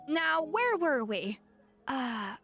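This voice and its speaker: A woman playing a proud, blunt, demanding young female chef in a video game, brisk and haughty. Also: sits high, sing-song, fairly bright tone, faint music behind, full character voice. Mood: self-satisfied. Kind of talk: video game character acting.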